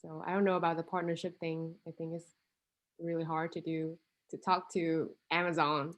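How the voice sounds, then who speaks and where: A woman's voice: low-pitched, flat delivery, moderate pace. Woman student, hesitant. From Vietnam. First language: Vietnamese. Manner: hesitant, thinking aloud, many "um" and "like" fillers. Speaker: international student with a startup idea. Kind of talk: casual conversation.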